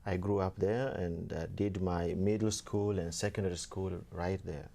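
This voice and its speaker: Young male seminarian guest from Kenya, mellow low voice, hesitant and gentle. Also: level tone, normal speed, a little hiss or hum. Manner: soft-spoken, many fillers, laughs easily. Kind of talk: casual interview talk.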